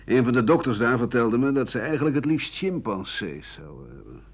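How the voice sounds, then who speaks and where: A man's voice: medium pitch, lively intonation, fairly quick, husky. An actor in a radio play playing an embittered, world-weary old doctor and writer who grumbles disdainfully as he looks back. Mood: bitter, nostalgic. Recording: dull 1980 radio recording.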